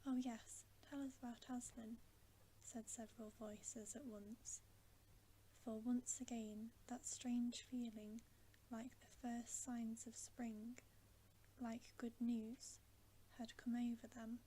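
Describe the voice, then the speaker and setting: A woman whispering softly in a lingering ASMR style as she reads a bedtime story. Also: fairly high pitch, level tone, slow pace, a little hiss or hum.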